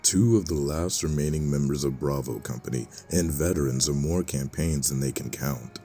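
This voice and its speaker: A man narrating a fantasy audio drama in a measured, solemn storytelling manner. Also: a bass voice, dark tone, slight background noise.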